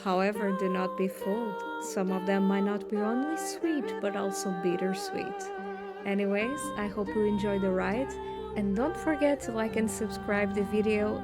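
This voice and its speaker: A woman video creator giving a voice-over introduction to her viewers. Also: mid-range voice. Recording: music behind the voice.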